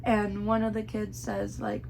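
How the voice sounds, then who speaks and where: Hoarse young woman with a gravelly voice. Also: medium pitch, monotone, measured pace, a little mellow, a little hiss or hum, low-quality recording. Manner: chatty, many "like" fillers, earnest. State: hoarse, voice worn out. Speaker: book reviewer. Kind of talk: casual book review vlog.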